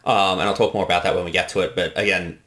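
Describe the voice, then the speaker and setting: A man speaking casually as a director in behind-the-scenes commentary, explaining things in a halting, reflective way. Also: low-pitched, fairly even intonation, a bit bright, a little hiss or hum. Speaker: director giving creator commentary.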